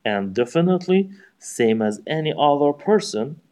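A man from Iran with an Iranian accent, speaking English as a second language and giving a prepared speech in formal, deliberate phrasing. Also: a little high, very animated, darkish tone. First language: Persian. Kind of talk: practice speech, memorized.

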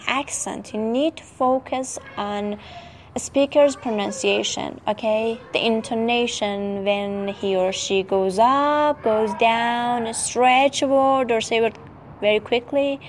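A woman whose first language is Turkish gives a spoken English lesson, slow and deliberate. Her voice sits fairly high, and she stresses key words and keeps asking "okay?". Faint noise runs underneath. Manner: emphatic, checks in with "okay?" often. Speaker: English teacher.

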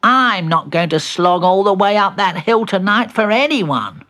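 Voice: mid-range voice, very animated, measured pace, pushed and bright, voice pushed up. A storyteller voicing a lazy, reluctant young male animal in a grumbling, complaining manner, for a children's story.

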